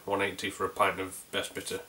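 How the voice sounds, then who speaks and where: Bright-voiced North Yorkshire man reviewing beer. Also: deep voice, completely flat delivery, fast talker, slight background noise. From North Yorkshire, England. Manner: chatty and rambling, with frequent fillers and restarts. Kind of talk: casual video beer review.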